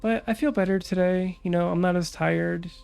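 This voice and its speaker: A young woman VTuber chatting calmly about her stream plans, her nose stuffed up from a cold, with lots of fillers. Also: on the low side, normal speed, gravelly, clean tone, soft background music. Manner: calm, relaxed, rambling with many fillers. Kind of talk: casual stream chat.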